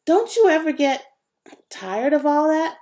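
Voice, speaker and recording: A woman's voice: high voice, very animated, a little slow, dark tone, voice pushed up. A woman voicing an anxious, earnest twelve-year-old boy in a children's storybook reading.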